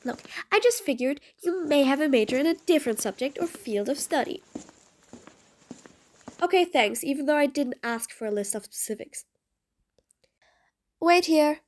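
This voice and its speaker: Woman acting a high-voiced sardonic female supervillain. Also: expressive, normal speed, pauses often, a little hiss or hum. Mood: grudging, teasing. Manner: sarcastic, dry, exasperated. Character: mean but patient female supervillain, sardonic, grudgingly soft. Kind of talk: audio roleplay acting addressed to the listener.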